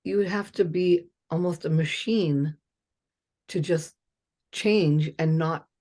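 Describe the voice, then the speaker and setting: A woman's voice: sits low, slow pace, mellow. A woman in her fifties hosting a casual chat, blunt and chatty, swearing freely and reeling off lists. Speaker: host.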